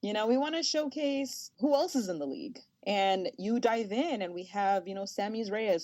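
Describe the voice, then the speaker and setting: A young woman of Salvadoran family who grew up speaking Spanish first, telling stories in an animated, chatty way with many fillers, as the guest in an interview. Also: mid-range voice, dry recording, rough recording. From United States, Salvadoran family. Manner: animated storyteller, lots of fillers and laughs. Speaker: guest, sports journalist and host. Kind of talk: casual interview talk.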